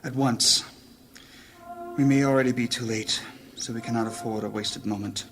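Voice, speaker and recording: An actor playing a grave, anxious leader of a wizard council in a fantasy audio drama, speaking formally and deliberately. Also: male voice, medium pitch, steady tone, over music, natural voice. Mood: urgent, solemn. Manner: formal, solemn, deliberate speech.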